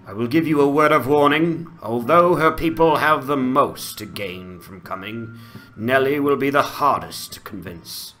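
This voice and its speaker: A man voicing a grave, courteous ranger leader with a formal, measured delivery in a fantasy game dramatization. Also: mid-range voice, fairly bright tone.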